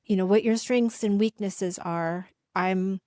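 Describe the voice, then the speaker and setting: Middle-aged woman, coach and host, casual and halting, dry close sound. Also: medium pitch, fairly even intonation, warm tone, no room sound. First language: English. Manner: halting, with frequent restarts and fillers. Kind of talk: casual talk to camera.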